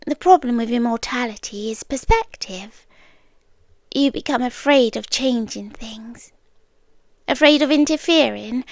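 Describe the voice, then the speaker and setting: Slow, whispery British immortal stalker girlfriend, acted by a woman. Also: sits high, no echo. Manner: soft, deliberate, often dropping into whispers. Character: immortal cosmic female yandere stalker, obsessively patient, tender, possessive. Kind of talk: intimate ASMR girlfriend roleplay. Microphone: close microphone.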